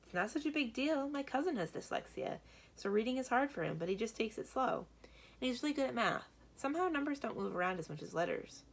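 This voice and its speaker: A woman voicing a friendly, tactful schoolboy in a storybook, speaking frankly and casually to smooth things over. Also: on the high side, sing-song, brisk, pitched above the performer's own voice, heavily acted. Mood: reassuring.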